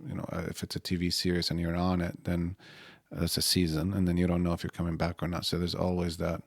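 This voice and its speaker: A middle-aged man from Israel whose first language is Arabic, speaking as an interview guest in a halting way with fillers and restarts. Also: sits low, monotone, dry recording, clean studio sound. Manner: halting, restarts with fillers, short agreeing answers. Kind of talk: casual interview.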